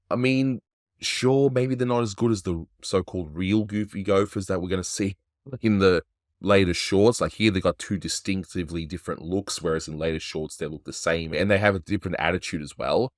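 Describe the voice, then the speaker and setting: A man's voice: sits low, fairly quick, dry recording, clean studio sound. A man hosting a casual animation review, chatty and analytical, with frequent restarts. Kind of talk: casual cartoon review commentary.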